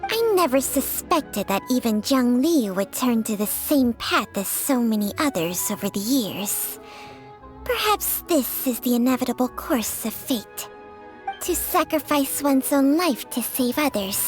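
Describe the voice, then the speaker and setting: Solemn old snake spirit, high and swoopy voice, soft game music under it. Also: female voice, very animated. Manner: solemn, measured, philosophical. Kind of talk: video game voice acting.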